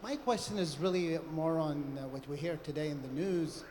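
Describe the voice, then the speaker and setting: A man from Iraq politely putting a formal question as an audience member, in a courteous, deliberate way. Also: fairly high pitch, darkish tone. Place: large book fair session hall. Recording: echoey hall, audible background noise.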